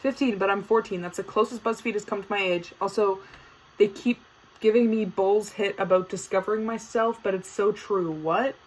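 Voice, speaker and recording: A woman's voice: medium pitch, fairly even intonation, moderate pace, low-quality recording. Chatty teenage girl podcasting solo. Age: teens. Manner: chatty, filler-heavy, playfully dramatic. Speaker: podcast host. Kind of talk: casual solo podcast chat.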